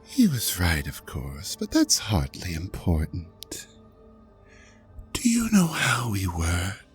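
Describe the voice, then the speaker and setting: A man playing an ancient, vain dragon god in an audio drama, pompous and mock-courteous, with a theatrical drawl that sinks into breathy murmurs. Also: low-pitched, huge pitch swings, slow pace, soft background music, exaggerated voice. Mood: boastful, languid. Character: ancient vain male dragon god, suave, hypnotic, menacing host. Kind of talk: scripted audio drama monologue addressed to the listener.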